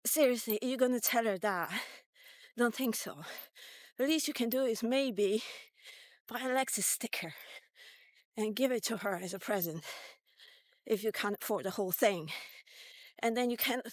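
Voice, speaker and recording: A woman's voice: on the high side, moderate pace, long pauses, no echo. A woman in her fifties telling a personal story casually, in a blunt, conversational, sweary way, as a vlogger. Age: 50s.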